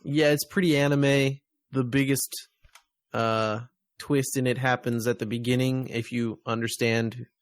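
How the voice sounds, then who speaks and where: A man's voice: medium pitch, level tone, measured pace, dry recording. An American man hosting a podcast, chatting casually about games and media. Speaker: podcast host.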